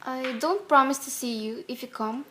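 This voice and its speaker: An actress playing a poised, gentle, candid young woman with a measured, genteel manner in a radio play. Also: high-pitched. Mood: poised, dry. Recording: faintly noisy radio play recording.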